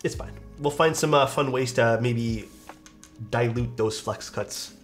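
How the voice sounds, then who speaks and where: A man's voice: medium pitch, measured pace, soft background music. A young man with a stuffed-up, nasal voice, chatting casually on a live stream while he builds a keyboard and reads out chat. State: stuffed-up nose.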